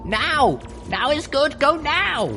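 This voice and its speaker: Littlepip, a tiny squeaky unicorn mare, as a man puts her on. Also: sing-song, exaggerated voice. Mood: urgent. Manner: high, squeaky, hurried. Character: small young female unicorn, anxious and urgent. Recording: music playing underneath.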